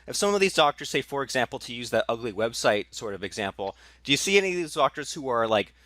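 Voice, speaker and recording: A man's voice: medium pitch, very animated, quick pace, fairly bright tone, no echo. A man hosting a marketing podcast, eager and chatty, packing his questions with fillers and restarts. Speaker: podcast host. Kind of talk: casual podcast interview.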